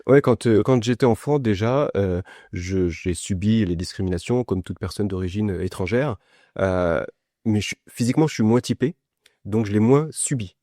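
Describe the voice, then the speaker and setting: A man from France with a lowish, mellow voice speaks as a guest in a conversation. He explains things in a personal way, restarting phrases as he goes. The sound is dry, with very little room. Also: moderate pace, dry recording. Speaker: podcast guest, essayist and journalist. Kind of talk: conversational interview answer, personal account.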